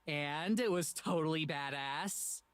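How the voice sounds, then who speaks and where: A woman's voice: low-pitched, slow, clear, resonant tone. An actress playing a cheeky, tough young woman in a visual novel, casual and laid-back in her delivery. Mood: impressed, casual.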